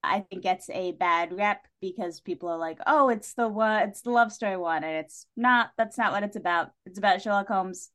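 A woman's voice: mid-range voice, moderate pace, few pauses, a bit bright. A woman talking casually as a podcast guest, enthusiastic and wry, with playful asides.

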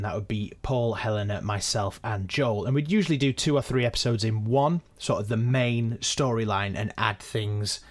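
A man giving a measured, conversational behind-the-scenes explanation as a podcast director and editor. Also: lowish voice.

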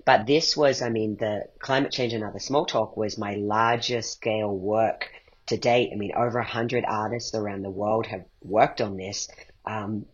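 Male interview guest, slightly low and raspy, thoughtful and reflective, on a muffled recording. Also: steady tone, normal speed. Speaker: guest, playwright and podcast creator. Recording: dull, muffled lo-fi sound.

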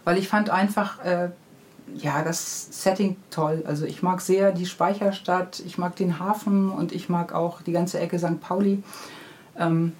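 A woman's voice: on the low side, fairly even intonation, a little slow, a little mellow. A middle-aged woman, telling anecdotes reflectively as an author being interviewed. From Hamburg, Germany. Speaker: guest, children's book author. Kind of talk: conversational interview storytelling.

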